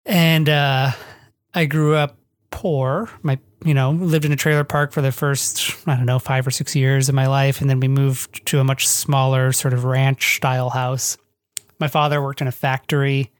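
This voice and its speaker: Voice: medium pitch, little pitch movement, no room sound. American author thinking aloud, close dry sound. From northwest Ohio, United States. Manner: halting, discursive, thinking aloud with frequent hedging. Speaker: guest author of a memoir. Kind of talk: reflective podcast interview talk.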